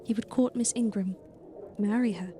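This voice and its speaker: An actress playing a young governess in an audio drama, reserved and earnest, speaking formally. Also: female voice, medium pitch, moderate pace, noisy background, lightly acted. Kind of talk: audio drama acting and first-person narration.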